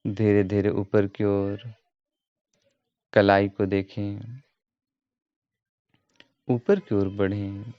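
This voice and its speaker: A man giving guided meditation instruction as a yoga teacher, in a calm, measured, repetitive way. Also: on the low side. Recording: roomy echo, dull muffled sound.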